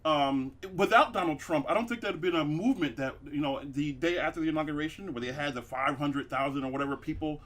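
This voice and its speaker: A man hosting a loose comedy podcast, his voice bright and a little high for a man. He rambles through his points with plenty of fillers, restarts and laughs. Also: fast talker, hardly any pauses. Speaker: podcast host. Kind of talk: casual comedic chat.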